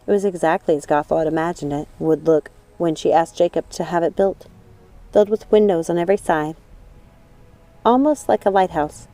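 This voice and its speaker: Mellow, low-voiced woman narrating a story. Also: normal speed, soft background music. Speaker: audiobook narrator.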